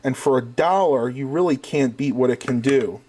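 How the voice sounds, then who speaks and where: A man's voice: mid-range voice, moderate pace, warm tone. A man reviewing a product in a casual hands-on demonstration, explaining things methodically step by step.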